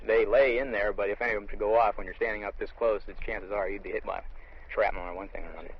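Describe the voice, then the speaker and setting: A man's voice: fairly low pitch, steady tone, fast, husky. An American man, an army lieutenant, explaining things matter-of-factly in an interview outdoors in the field. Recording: dull lo-fi vintage field recording.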